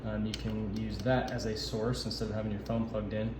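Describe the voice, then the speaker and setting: A man giving an informal instructional walkthrough of equipment, explaining in a halting, improvised way. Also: lowish voice, level tone. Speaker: sound system installer giving a walkthrough. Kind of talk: informal instructional demo narration. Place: indoor camp office. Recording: echoey room, noisy ambient background.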